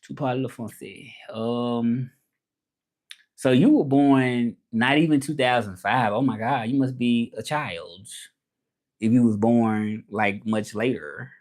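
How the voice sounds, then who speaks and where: Man, Southern US accent, mid-pitched voice, casual livestream host, colloquial, repetitive phrasing. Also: slow, darkish tone. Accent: Southern American. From southern United States. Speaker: livestream host, language content creator. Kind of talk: casual livestream chat, switching between English and French.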